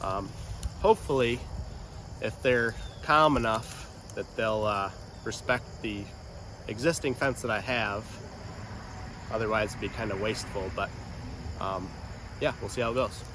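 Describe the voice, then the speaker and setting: Man with a head cold, stuffy-voiced; rambling, halting farm vlog outdoors, faint wind and insect noise on a camera mic. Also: lowish voice, a bit bright. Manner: rambling, hesitant, many ums. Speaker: farm vlogger. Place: outdoors in a windy pasture. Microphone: camera mic, no external mic.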